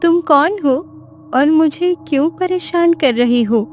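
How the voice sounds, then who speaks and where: High, plaintive young princess voiced by a woman. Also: a little slow, a little hiss or hum. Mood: annoyed. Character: heartbroken young princess, guarded and emotional. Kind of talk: dramatized Hindi moral fairy tale.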